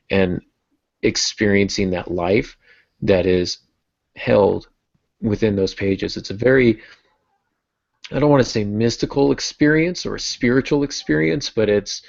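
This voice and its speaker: A man's voice: fairly low pitch, gravelly, a little mellow, no echo. A middle-aged man co-hosting a podcast, chatting about books in a reflective, wryly self-deprecating way. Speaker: podcast co-host and writer. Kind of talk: casual literary discussion. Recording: muffled lo-fi podcast audio.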